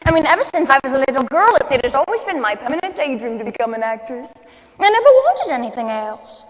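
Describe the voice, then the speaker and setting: A woman's voice: high-pitched, big pitch swings, fast. A woman playing a coquettish, fragile, grand aging film star in a gushing, dramatic stage monologue. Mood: nostalgic, dramatic. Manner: gushing, dramatic, calls people darling. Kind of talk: solo dramatic monologue performance. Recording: echoey hall, long reverb.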